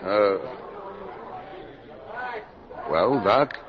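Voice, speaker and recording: An actor playing a brusque, impatient police captain in a 1950s radio drama, with clipped, demanding speech. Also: male voice, on the low side, animated, slow, a crowd in the background. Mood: subdued. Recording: dull old radio broadcast, background hubbub.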